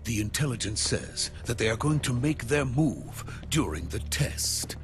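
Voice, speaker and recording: An actor playing an anxious Soviet weapons scientist in a game cutscene, speaking in a grave, deliberate, explanatory way. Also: male voice, medium pitch, very animated, over music. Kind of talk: dramatic video game cutscene acting.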